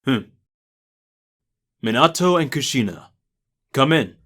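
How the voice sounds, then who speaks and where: Guy playing formal stern clan head in an anime audio drama, medium pitched voice. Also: expressive, slow pace, fairly bright tone. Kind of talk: fan-made anime audio drama acting.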